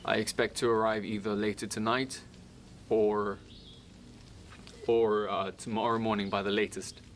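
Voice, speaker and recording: Male actor as weary, determined caver, low voice, hesitant confessional delivery, slightly noisy amateur recording. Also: little pitch movement. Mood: reassuring, uneasy. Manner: halting, hesitant. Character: weary, resolute male amateur caver keeping a video journal. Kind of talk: first-person found-footage monologue. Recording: noisy amateur video with ambient sound.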